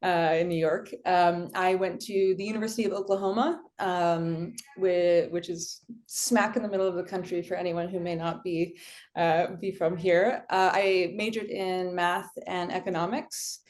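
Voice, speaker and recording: A woman's voice: fairly low pitch, fairly even intonation, normal speed, a little mellow. A woman from California introducing herself on a panel in a friendly, chatty way with many fillers, on a video call. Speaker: panelist, finance professional. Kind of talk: casual self-introduction.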